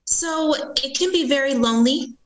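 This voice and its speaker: A woman guest on a podcast, a business owner who answers in an earnest, steady way with frequent ums. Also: high-pitched, normal speed, a little hiss or hum. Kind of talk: interview answers.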